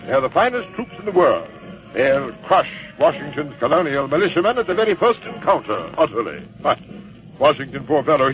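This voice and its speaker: A haughty commander in a vintage radio play. The recording is dull and aged. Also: male voice, a little high, a bit bright. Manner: pompous, drawling rhetorical hesitations and repetitions. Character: haughty, confident male British general commanding redcoats. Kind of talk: old-time radio drama acting. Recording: muffled vintage radio recording, music behind.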